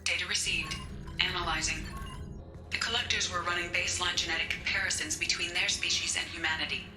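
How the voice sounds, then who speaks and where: An actress voicing a composed female starship artificial intelligence in a video game, speaking in a precise, formal and measured way. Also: on the low side, fairly even intonation, reverberant, over music, lightly acted. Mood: detached, analytical.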